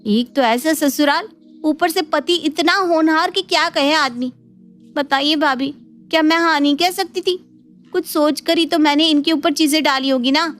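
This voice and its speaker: A woman voicing a young cartoon daughter-in-law, polite on the surface and quietly resentful. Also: sits high. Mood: exasperated. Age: young adult. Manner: deferential on the surface, exasperated. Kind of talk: Hindi cartoon family drama acting. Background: faint background music.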